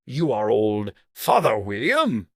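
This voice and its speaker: Man voicing a languid, very slow, haughty caterpillar. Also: on the low side, huge pitch swings, dry recording, studio quality, full character voice. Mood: languid, curt. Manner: slow, drawn-out, terse, haughty. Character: languid, sleepy, contemptuous caterpillar, curt and stern. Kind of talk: dramatized storybook narration.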